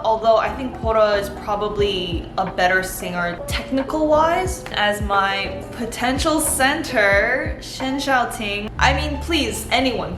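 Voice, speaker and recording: A young woman vlogger chatting casually and enthusiastically, peppering her talk with "like". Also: on the high side. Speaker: vlogger reviewing a TV show. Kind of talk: casual chat. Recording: roomy echo with background music. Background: soft background music.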